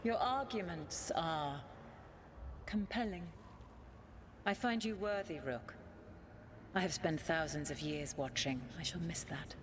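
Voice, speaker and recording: Low-voiced imperious ancient elven goddess. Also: female voice, noisy background. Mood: solemn, accepting. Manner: formal, haughty, deliberate, commanding. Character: ancient imperious elven goddess spirit, proud, stern, testing petitioners. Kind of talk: dramatic video game acting.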